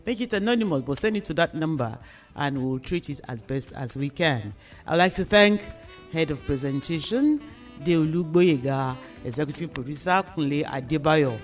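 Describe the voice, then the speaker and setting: A mature Nigerian-accented woman presents a radio discussion. Her delivery is formal and emphatic, with measured phrases aimed at listeners. Faint music plays behind her voice. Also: low voice, lively intonation. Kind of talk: moderating and addressing listeners in a radio panel discussion.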